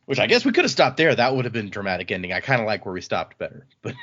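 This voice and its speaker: A man's voice: lowish voice, huge pitch swings, very fast, fairly bright tone. A man hosting a podcast, casually recapping book chapters in a chatty, loose way. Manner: chatty, loose summarizing with fillers. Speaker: podcast host. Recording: slightly muffled lo-fi audio.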